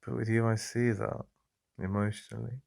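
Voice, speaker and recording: Soft, intimate English boyfriend voice, very low, heavy fry, halting delivery. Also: male voice, little pitch movement. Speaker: ASMR roleplay host. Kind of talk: boyfriend ASMR roleplay.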